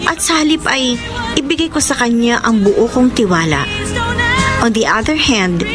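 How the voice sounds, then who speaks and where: A woman plays a sincere young girlfriend in a radio drama, her voice on the high side. She confides and pleads with emotive worry, slipping between Tagalog and English. Dramatic music plays clearly underneath. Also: natural voice. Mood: reflective, confiding. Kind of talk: Filipino radio drama acting and narration. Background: dramatic background music.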